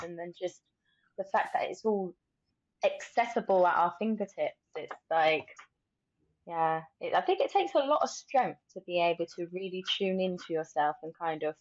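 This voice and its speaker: Low-pitched woman podcaster, slightly muffled. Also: normal speed, no echo. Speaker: podcast host. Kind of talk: casual podcast conversation.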